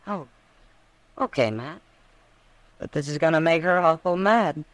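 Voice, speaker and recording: An American actress playing a frank, worldly saloon woman of the Old West in a radio drama, familiar and expressive. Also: sits low, measured pace, dry recording. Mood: wary. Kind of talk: 1950s radio drama acting.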